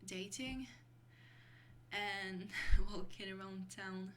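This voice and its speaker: Very slow, soft whispery vampire girlfriend, played by a woman. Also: medium pitch. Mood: fond, nostalgic. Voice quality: soft, breathy, often dropping to a whisper. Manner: slow, hesitant, intimate, with small breathy laughs. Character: affectionate young female vampire girlfriend mentoring a newly turned lover. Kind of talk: ASMR roleplay. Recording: clearly noisy recording with hum.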